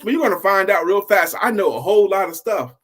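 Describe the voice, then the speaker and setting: A man voicing a boastful young monk, an excitable student who talks in slangy bragging, as part of a told story. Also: sits high, a little fast, voice pushed up. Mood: boastful, excited.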